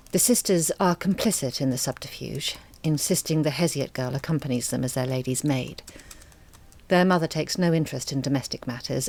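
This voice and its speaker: A woman playing a thoughtful, candid writer in an audio drama, speaking in an intimate, reflective and expressive monologue. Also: low-pitched.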